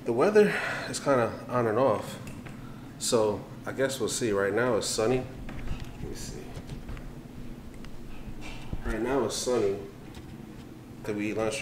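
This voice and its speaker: Deep-voiced American man vlogging over background noise. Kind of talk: casual vlog chat to camera. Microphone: handheld camera at arm's length.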